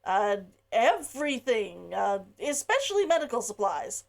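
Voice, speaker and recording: A woman's voice: fairly high pitch, sing-song, vocal fry, darkish tone, full character voice. A woman reading the part of a nervous male shopkeeper who hesitates and stumbles over his words. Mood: hesitant. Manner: halting, full of uh fillers.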